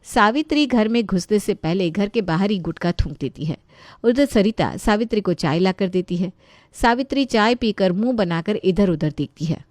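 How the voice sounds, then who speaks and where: A woman's voice: mid-range voice, animated, normal speed, a little mellow, no echo, studio quality. A woman narrating a scripted Hindi story as the storyteller.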